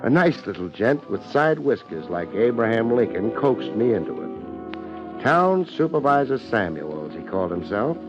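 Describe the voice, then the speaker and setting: Wry, lively-toned 1950s radio detective. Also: male voice, medium pitch, unhurried, muffled recording, music in the background. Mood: wry, narrating. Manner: wry, clipped, slangy. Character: laid-back, wisecracking city private detective, adult man. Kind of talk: 1950s radio detective drama acting, first-person narration.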